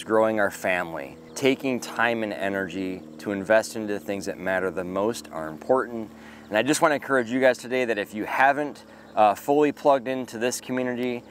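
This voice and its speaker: A man's voice: fairly low pitch, slight background noise. A man in his forties hosting a homesteading channel, speaking earnestly and gratefully to the camera outdoors, thinking aloud with frequent ums and uhs. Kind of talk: casual devotional talk to camera. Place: outdoors in a park-like yard with trees. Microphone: camera close up at arm's length.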